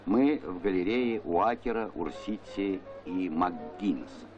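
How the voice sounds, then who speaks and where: A man narrates a documentary in a measured script style with a fairly low voice. The soundtrack is dull and vintage, with music playing behind him. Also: a little mellow.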